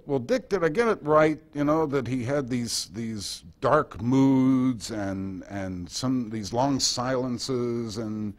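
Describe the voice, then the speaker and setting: An American man giving an informal talk and answering questions, hesitant and thoughtful, often pausing as he tells his anecdotes. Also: mid-range voice. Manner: hesitant, thoughtful, anecdotal delivery with long pauses. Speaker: guest speaker and author at a club meeting. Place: indoor club meeting.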